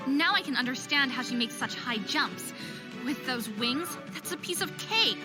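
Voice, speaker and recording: A woman's voice: high-pitched, expressive, moderate pace, over loud music. A woman voicing an anxious but determined young female figure skater in a visual novel game, given to self-doubt and pep talks. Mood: amazed, indignant.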